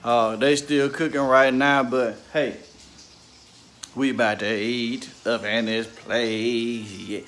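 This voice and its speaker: A young man with an easy, laid-back delivery chats through his cooking for a family vlog. His voice sits at a middle pitch. He keeps calling his viewers family. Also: steady tone, takes it slowly, fairly bright tone, a little hiss or hum. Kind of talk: casual family vlog chat.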